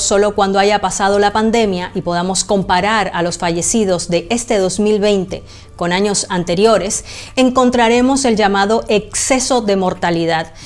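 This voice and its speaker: A woman correspondent reading a news report in a measured, formal way. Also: medium pitch.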